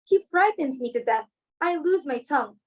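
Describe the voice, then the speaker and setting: A woman's voice: high voice, expressive, normal speed, a little mellow. A woman playing a timid, reluctant young society daughter in an amateur reading of a stage comedy. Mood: plaintive, reluctant.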